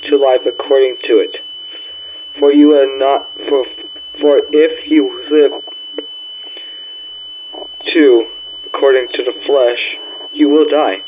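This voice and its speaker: A man reading a mock emergency alert address in a halting way, stumbling and repeating words. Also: mid-range voice, noisy background. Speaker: amateur emergency broadcast announcer playing a president's address. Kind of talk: mock emergency alert announcement, read aloud.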